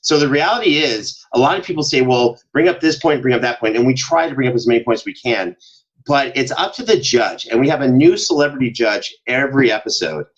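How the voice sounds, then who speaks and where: Lo-fi sounding guy on a podcast, deepish-average voice, bantering and telling stories, acts out who said what. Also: brisk. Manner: banters, acts out quoted exchanges. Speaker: podcast guest, show co-host and audio drama producer. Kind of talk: casual podcast chat, animated storytelling.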